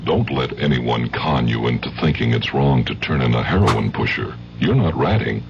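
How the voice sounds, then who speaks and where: A man's voice: a bass voice, expressive, moderate pace, gravelly, over music. A man with an American accent delivering an earnest, emphatic scripted radio public service announcement. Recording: dull old radio recording with hum.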